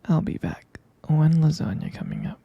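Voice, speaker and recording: A soft, breathy performer murmuring hesitantly and affectionately as a doting lover in an intimate ASMR romantic roleplay. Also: mid-range voice, wildly swooping pitch, moderate pace, no room sound, highs cut off. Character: tender, doting vampire lover soothing a sweetheart.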